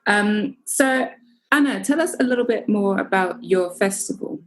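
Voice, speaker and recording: A woman hosts a webinar over a video call, keeping her prompts short and upbeat. Her voice is mid-pitched and plain. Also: moderate pace, poor audio. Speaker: webinar host. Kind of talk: hosting a live Q&A.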